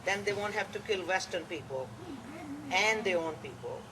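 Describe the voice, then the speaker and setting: A woman from Pakistan with a Pakistani accent, performing a satirical monologue in a deliberate, dramatic way. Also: medium pitch, lively intonation. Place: live venue, cafe. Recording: distant mic, clear background noise.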